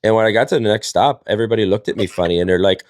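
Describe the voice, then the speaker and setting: A man's voice: fairly low pitch, very fast, darkish tone, no room sound. A man, telling casual comedic stories in a rambling, folksy, jokey way as a livestream host. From Wisconsin, United States.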